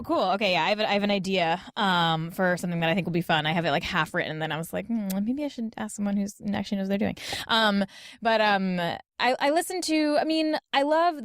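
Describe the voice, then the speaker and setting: A woman's voice: mid-range voice, smooth, dry recording, clean studio sound. A woman in her thirties chatting casually as a podcast guest, acting out little exchanges and often saying "like". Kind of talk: casual panel chat.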